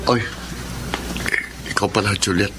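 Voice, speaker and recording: An actor playing a kind, dutiful, devout son in a Filipino radio drama, speaking politely and earnestly. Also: male voice, on the low side, steady tone, unhurried, background noise, natural voice. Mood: earnest, forgiving.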